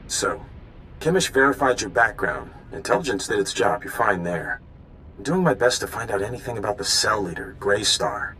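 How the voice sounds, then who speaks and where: A man playing an earnest, eager undercover informant in a video game, hurried and slightly awkward, with rumbling noise behind him. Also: expressive, pushed and bright, poor audio. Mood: relieved, eager. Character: earnest, eager male rebel informant, secret agent.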